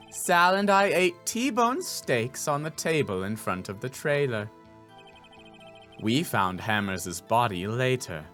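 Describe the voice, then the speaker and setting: A man's voice: medium pitch, fairly bright tone, voice pushed down. Game witness played by a man, irritable, curt and dismissive, middle register, music underneath.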